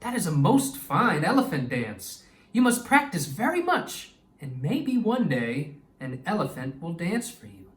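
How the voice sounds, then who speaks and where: A man voicing a kindly, good-humored old grandfather from a children's storybook, talking warmly to his grandchildren. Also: on the high side, sing-song, a little slow. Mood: tender. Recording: echoey room, distant microphone.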